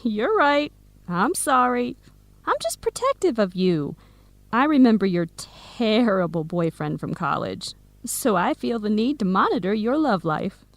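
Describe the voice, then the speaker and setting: An actress playing a teasing, protective young American woman in a radio drama, wry and clearly enunciated. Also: medium pitch, sing-song, a little slow, no echo. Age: young adult. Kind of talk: scripted radio drama dialogue.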